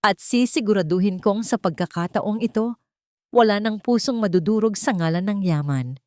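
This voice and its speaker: A woman voicing a tender, resolute heiress with a soft, heartfelt manner in an audiobook drama. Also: mid-range voice, normal speed, no echo, clean studio sound, close to an everyday voice. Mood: tender resolve.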